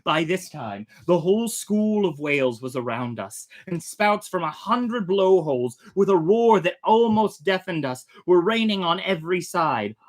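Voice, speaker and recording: Theatrical storyteller with very lively pitch. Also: unhurried, hardly any pauses. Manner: theatrical, swelling and hushing. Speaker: storyteller, host. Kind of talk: dramatic story reading.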